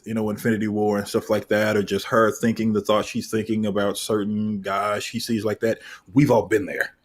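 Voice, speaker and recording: A man's voice: on the low side, husky. A man in his thirties chatting casually as a panelist, with a wry manner. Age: 30s. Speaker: panelist on a comics discussion show. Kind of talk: casual chat.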